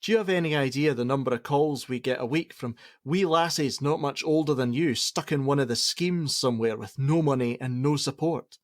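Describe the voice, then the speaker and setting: A prickly Scottish father in middle age as a man acts him in a radio play, blunt and quick to argue. His sarcasm is stern, in an ordinary mid-pitched voice recorded dry. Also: dry recording, lightly acted. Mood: angry, unyielding. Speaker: actor.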